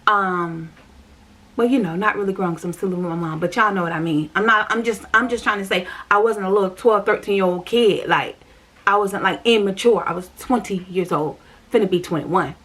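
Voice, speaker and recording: A woman's voice: mid-range voice, brisk, a bit bright. Chatty woman telling her story. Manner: chatty, repetitive, addresses viewers directly. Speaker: vlogger. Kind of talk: personal storytelling vlog.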